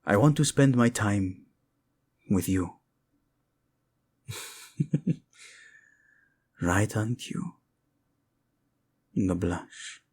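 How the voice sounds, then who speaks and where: Man playing a slow-spoken romantic king, Spanish accent. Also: fairly low pitch, animated, a little mellow, husky, full character voice. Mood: pleading. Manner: tender, halting, dramatic, sprinkles in endearments. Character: protective young king, tender, lovesick, proud, playful romantic. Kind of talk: intimate romantic audio drama acting addressed to listener.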